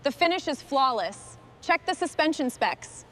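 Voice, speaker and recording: Brisk woman issuing workshop commands. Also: high-pitched, very animated, heavy background noise. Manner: crisp, businesslike commands. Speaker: restoration supervisor. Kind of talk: scripted workshop dialogue.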